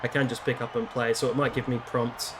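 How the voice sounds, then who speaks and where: A man's voice: medium pitch, flat delivery, fairly quick, crowd noise. A man giving casual, informal commentary on his video game playthrough, with rambling asides. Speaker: gamer narrating a playthrough. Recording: loud game noise behind voice. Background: wrestling game audio.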